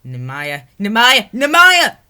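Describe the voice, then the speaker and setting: Storybook daughter, acted by a narrator, distraught, grieving, yelling, high-pitched, piercingly bright. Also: huge pitch swings, slow pace, clear, resonant tone, heavily acted. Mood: horrified screaming. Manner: wailing, yelling. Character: Emily, distraught, grieving young daughter, rebellious.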